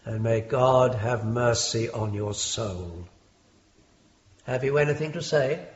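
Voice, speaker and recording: An actor playing a stern, lofty Victorian judge with an English accent, formal and measured, in a British radio drama. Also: male voice, mid-range voice, close to an everyday voice. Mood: solemn. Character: stern, lofty Victorian judge presiding over a murder trial. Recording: dull, muffled lo-fi drama recording.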